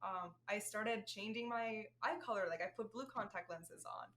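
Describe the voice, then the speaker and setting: A woman's voice: medium pitch, normal speed, a bit bright. A young woman with a Puerto Rican accent, chatting casually as a guest on a podcast, laughing often and using many fillers. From Puerto Rico. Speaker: guest counselor. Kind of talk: casual chat.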